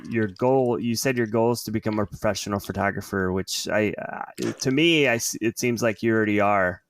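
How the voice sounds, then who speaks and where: A man's voice: fairly low pitch, moderate pace, slight background noise. A man hosting a podcast, asking questions with friendly hesitations and reading a closing outro. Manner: friendly, with frequent yeahs and hesitations. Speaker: podcast host. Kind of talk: casual interview, then scripted outro.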